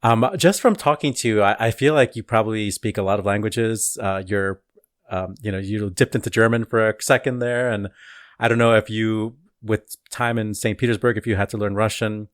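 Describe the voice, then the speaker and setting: A man's voice: fairly low pitch, fairly even intonation, moderate pace, no room sound, clean studio sound. A man hosting an interview, asking long, rambling, enthusiastic questions in a casual chat. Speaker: podcast host.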